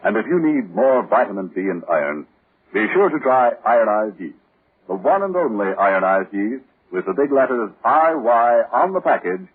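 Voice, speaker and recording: A man's voice: medium pitch, big pitch swings, moderate pace, breathy edge. A man announcing a 1940s radio program and reading its sponsor's ads in a formal announcer style. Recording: tinny old radio broadcast.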